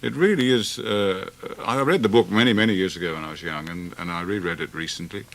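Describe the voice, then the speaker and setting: A man's voice: sits low, quick pace, a bit bright. A male opera singer, a guest on a radio interview, talking in a musing, hesitant way with many restarts. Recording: 1980s radio broadcast with faint hiss.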